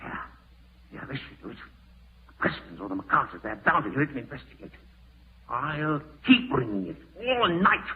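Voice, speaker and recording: Melodramatic schemer in muffled old radio drama. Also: male voice, mid-range voice, huge pitch swings, normal speed, pauses often. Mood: anxiously hopeful. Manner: melodramatic, muttering to himself, breaking into frantic cries. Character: greedy, treacherous man, trapped and unraveling in panic. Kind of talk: 1940s radio acting. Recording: muffled vintage 1940s radio recording.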